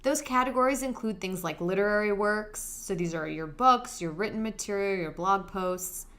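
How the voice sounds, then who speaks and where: Woman, podcast host, soothing voice, friendly and direct, mid pitch. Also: moderate pace, fairly bright tone. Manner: friendly, direct, explains step by step. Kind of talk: podcast explainer monologue.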